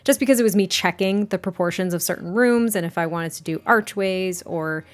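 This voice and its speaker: A woman with a slightly raspy voice chatting casually in a voice-over about her building, with fillers and a rambling, friendly manner. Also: mid-range voice, brisk. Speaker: game builder narrating a speed build. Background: soft background music.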